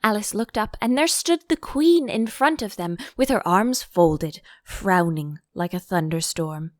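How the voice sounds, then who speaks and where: A woman reading an audiobook as an expressive storybook narrator, close to the microphone. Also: mid-range voice, measured pace, no room sound.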